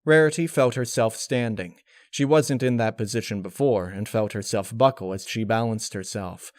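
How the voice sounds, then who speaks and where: A man's voice: fairly low pitch, normal speed, darkish tone, no echo. Dry, close male story narrator. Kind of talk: scripted fan fiction narration.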